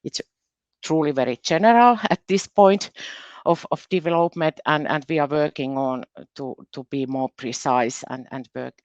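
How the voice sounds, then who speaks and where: A woman with a Finnish accent and Finnish as her first language gives a careful, halting conference presentation as a university research specialist, often doubling her words. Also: deep voice, a little mellow, no echo, highs cut off. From Finland.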